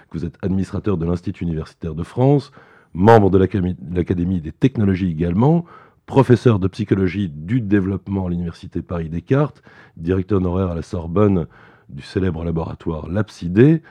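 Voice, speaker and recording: A middle-aged man hosting a formal radio interview, speaking in a measured, formal way with some hesitations. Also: low-pitched, dark tone, breathy edge. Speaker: radio host.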